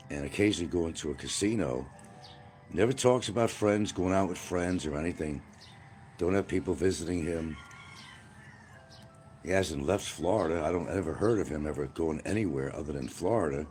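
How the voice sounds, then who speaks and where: A man's voice: sits low, a little mellow, slight background noise. A man hosting a rambling livestream outdoors by a field, answering comments in a blunt, combative way. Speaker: livestream host.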